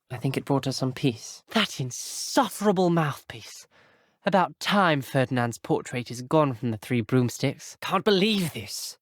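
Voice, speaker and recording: A man's voice: mid-range voice, no room sound, natural voice. Guy playing a serious teen boy wizard from a video game, kinda troubled and careful with words, grave, super dry recording. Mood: agitated. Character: earnest, troubled teenage boy wizard student, wary of dark magic. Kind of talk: video game character dialogue.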